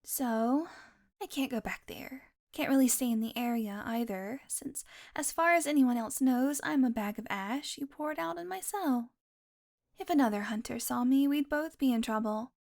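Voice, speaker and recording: A sardonic vampire woman, acted by a female performer speaks in a fairly high voice. She is haughty and teasing, with exasperated sarcasm aimed straight at the listener, in the manner of an audio roleplay. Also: moderate pace, creaky, darkish tone. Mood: dry, exasperated.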